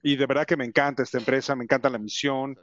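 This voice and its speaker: A man with an English accent and English as his first language, giving a conference presentation in a halting way, with many fillers and restarts. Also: mid-range voice, steady tone, a bit bright, highs cut off. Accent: English speaker's Spanish. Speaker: company representative presenting to educators.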